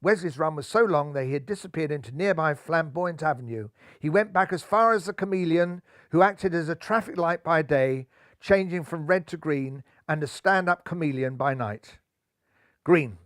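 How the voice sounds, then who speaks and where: An older man in his sixties narrates a children's book with lively, theatrical delivery. His voice sits fairly high for a man. Recorded close on a lapel mic in a living room, with a dry sound. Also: moderate pace, dry recording. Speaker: storybook narrator. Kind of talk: expressive children's story reading. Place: living room, seated in armchair.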